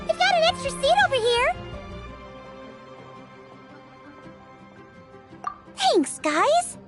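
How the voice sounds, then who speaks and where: Casting: chirpy teen restaurant girl for anime game, childlike high voice, hugely animated, music behind. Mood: kindly, welcoming. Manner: chirpy, chatty, expressive. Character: perky, friendly teenage restaurant girl, eager chef.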